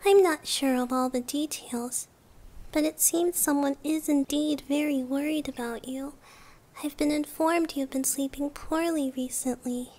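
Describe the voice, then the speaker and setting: Woman playing childlike guardian goddess of dreams for comfort ASMR: high-pitched, soft, unhurried, soothing. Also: steady tone. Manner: hushed, reassuring, often near whisper. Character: gentle childlike female dream-guardian deity.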